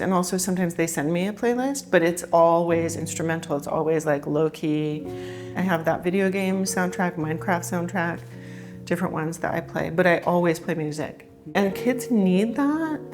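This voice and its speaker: A woman from California, a teacher, talking in a chatty, relaxed, upbeat way in an interview. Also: on the low side. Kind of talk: casual interview talk. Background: soft guitar music.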